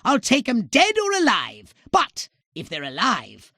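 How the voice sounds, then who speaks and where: A man's voice: extremely high pitch, wildly swooping pitch, unhurried, bright, forceful tone, no room sound, clean studio sound, full character voice. A man playing a small, haughty, impatient alien commander in a video game, giving orders in pompous, theatrical, scolding tones with clipped formal diction. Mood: pompous instructing. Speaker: mission controller giving orders.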